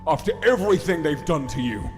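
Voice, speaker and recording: A man's voice: fairly high pitch, sing-song, fairly quick. An actor playing an enraged, bereaved monster king in a comic dub, impassioned and anguished. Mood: anguished fury. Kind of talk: dramatic comic dub acting. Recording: long reverb, faint music behind.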